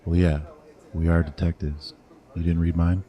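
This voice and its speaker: Casting: actor as a blunt veteran cop, deep and dark-toned. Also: male voice, level tone, moderate pace, close to an everyday voice. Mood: gruff. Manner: dry, blunt. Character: veteran male detective, gruff, wary.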